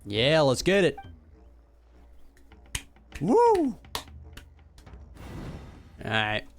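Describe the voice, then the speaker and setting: A man's voice: fairly high pitch, wildly swooping pitch, extremely slow, faint music behind. Chatty male gaming streamer. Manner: chatty, chuckling. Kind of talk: casual game reaction commentary.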